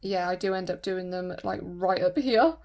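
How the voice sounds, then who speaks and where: A woman with a croaky voice from a sore throat, chatting casually and rambling with lots of fillers while doing her nails. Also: on the low side, moderate pace, darkish tone. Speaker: nail vlogger.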